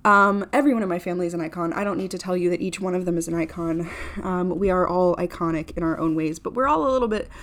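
A woman's voice: on the low side, fast, fairly bright tone. A woman of twenty hosts her own podcast in a chatty, upbeat manner, full of fillers and self-deprecating asides. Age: 20s. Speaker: podcast host. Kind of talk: casual solo podcast monologue.

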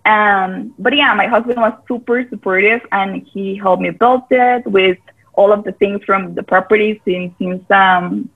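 A woman's voice: medium pitch, fairly even intonation, measured pace. A woman from Rica, a guest chatting casually over a video call with lots of "like" fillers and loose grammar. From Costa Rica. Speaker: guest, part-time yoga teacher. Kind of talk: casual interview chat. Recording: phone-like call audio.

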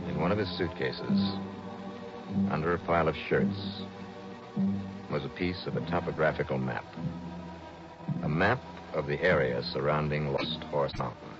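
An old, dull-sounding radio recording with loud music behind a tough American male detective. His voice is extremely deep and swings dramatically, terse and determined as he works a case. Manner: terse, brisk, hard-boiled. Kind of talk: 1960s radio detective acting, clipped dramatic narration.

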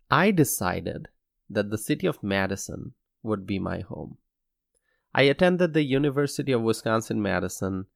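A young man from Bangladesh hosting his own podcast, speaking in an earnest, measured scripted monologue. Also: medium pitch, creaky, no echo, studio quality. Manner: measured, earnest, slow deliberate delivery. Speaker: podcast host.

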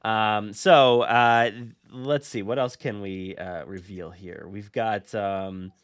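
A man hosting a casual podcast, talking informally and haltingly as he thinks aloud. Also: lowish voice, fairly even intonation, clear, resonant tone, no room sound, studio quality. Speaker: podcast host.

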